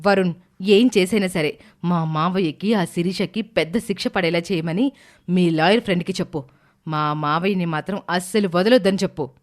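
A woman's voice: fairly low pitch, normal speed, close to an everyday voice. A woman playing an angry, accusing young niece in a family drama story, confrontational and sarcastic. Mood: angry, threatening.

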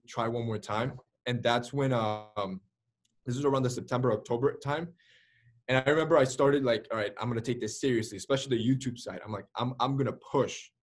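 Husky-voiced young man telling his story. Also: medium pitch. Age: early 20s. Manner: energetic, gesturing with his hands, many fillers like "like" and "right?". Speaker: interview guest. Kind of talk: candid storytelling.

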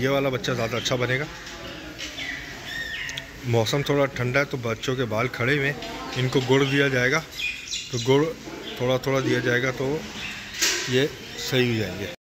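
A man with a Pakistani accent and a mid-pitched voice gives a casual farm tour. He explains things simply and repeats himself. He is recorded in a cattle shed with clearly audible noise around him.

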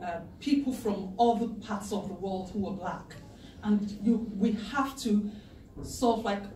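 A middle-aged woman giving a heartfelt personal testimony at a lectern, earnest and emotional, pausing to gather herself. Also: medium pitch, dark tone, a little hiss or hum. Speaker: patient campaigner giving a speech. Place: wood-panelled meeting room, indoors, at a lectern. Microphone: far camera in the audience. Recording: echoey room from far off, faint ambience.

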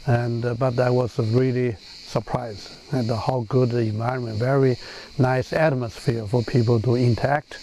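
A middle-aged man from Taiwan, an institute director, speaking slowly and deliberately outdoors. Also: medium pitch, fairly even intonation, mellow, slight background noise, rough recording. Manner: slow, deliberate. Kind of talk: interview. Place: outdoors among trees.

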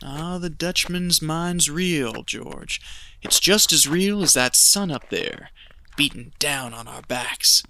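A man's voice: a little high, expressive, slow, gravelly, bright, forceful tone, no room sound, full character voice. An actor playing a cold, scheming male gold prospector in an audio drama, talking in a folksy, ominous drawl. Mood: greedy, sure.

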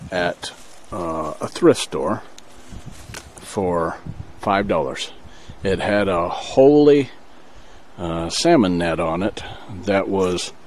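A man hosting an outdoor vlog, explaining in a casual, halting, step-by-step way from behind a handheld camera. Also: on the low side, darkish tone. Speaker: vlog host. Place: outdoors at a riverside camp in the backcountry. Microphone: handheld camera, speaker behind it. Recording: outdoor camcorder audio, faint ambient noise.

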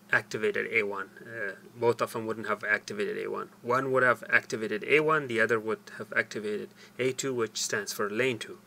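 An older man explaining a technical procedure step by step in a halting, deliberate way. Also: fairly low pitch, pushed and bright, a little hiss or hum. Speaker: tutorial presenter. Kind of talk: hands-on technical tutorial, explanatory. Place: small cluttered indoor workshop.

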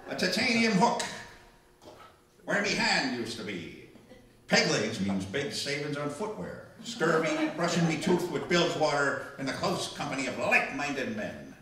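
A man's voice: fairly high pitch, wildly swooping pitch, measured pace, bright, forceful tone, heavily acted. A man playing a boastful, drunken pirate captain in a comic stage skit, growling in hearty pirate talk. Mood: boastful, jokey. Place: small library room before a live audience. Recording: echoey room, far from the mic.

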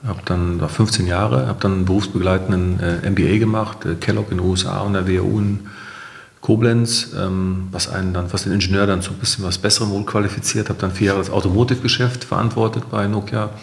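A middle-aged man speaks conversationally as a guest in an interview. His voice is deep with plenty of fry, and the sound around it is roomy and echoing. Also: steady tone, fast. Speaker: company founder, interview guest.

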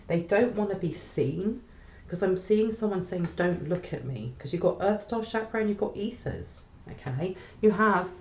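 Woman, tarot reader, slight cold, crackly voice, mellow tone, roomy dull recording. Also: on the low side. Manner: soothing, repeats "okay?" often. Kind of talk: collective tarot reading. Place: small room at a table.

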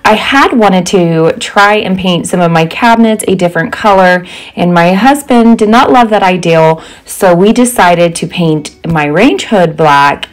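Female home decor vlogger, slightly low-pitched voice, narrating a house tour in voice-over. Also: rough recording.

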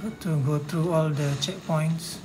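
A man's voice: fairly high pitch, fairly even intonation, unhurried. Casual male gamer over game music. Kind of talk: casual game walkthrough commentary.

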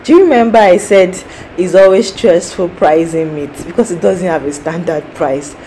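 A woman's voice: on the low side, moderate pace, warm tone, faint music behind, poor audio. A young Nigerian woman, a native Yoruba speaker, chatting casually to her viewers as a food market vlogger. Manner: chatty, addresses viewers directly. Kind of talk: casual vlog narration.

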